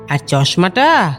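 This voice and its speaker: An actress playing an affectionate, mischievous grandmother in a Bengali audio drama, teasing and wry in an unhurried way. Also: fairly high pitch, a bit bright, exaggerated voice.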